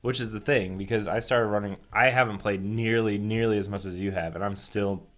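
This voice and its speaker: A man's voice: fairly low pitch, steady tone, a little fast. A man co-hosting a gaming podcast in a laid-back, informal way, chatting and reading out listener questions.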